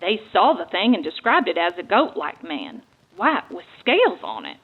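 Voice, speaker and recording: A woman's voice: on the low side, wildly swooping pitch, moderate pace, husky, narrow, tinny audio, heavily acted. A woman playing a folksy, earnest amateur detective and monster hunter with a Texan accent, in a dramatic reading of a memoir. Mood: earnest, resolute.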